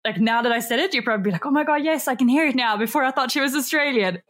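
A woman's voice: sits high, very fast, a bit bright. A woman from Sweden with a Swedish accent, a binge eating coach chatting as a podcast guest, talkative and full of fillers. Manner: chatty, many fillers, laughs often. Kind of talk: casual interview chat.

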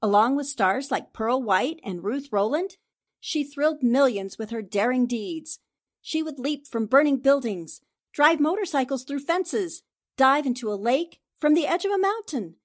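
A woman playing a sharp, confident newspaper reporter in a 1940s-style radio drama, speaking in an assertive, accusing way. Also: high-pitched, lively intonation, measured pace. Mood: dramatic, insistent.

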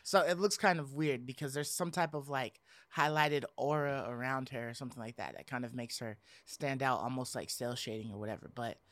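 A man's voice: mid-range voice, brisk, few pauses, a bit bright, dry recording. A man co-hosting a podcast, chatting casually and informally about video games in loose slang. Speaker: podcast co-host.

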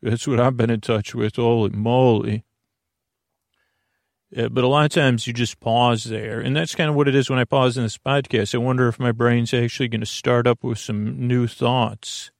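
A man with a creaky voice hosting a bedtime podcast, meandering and halting as he stammers and circles back on his words. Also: medium pitch, fairly even intonation, dry recording, studio quality. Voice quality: creaky, gravelly. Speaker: podcast host. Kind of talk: rambling bedtime podcast monologue to help listeners sleep.

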